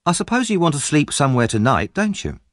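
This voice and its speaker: A man voicing a cocky, streetwise boy who acts like a grown gentleman, brisk and chummy in an audiobook story. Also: a little high, huge pitch swings, no echo, studio quality, pitched above the performer's own voice. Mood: friendly, confident.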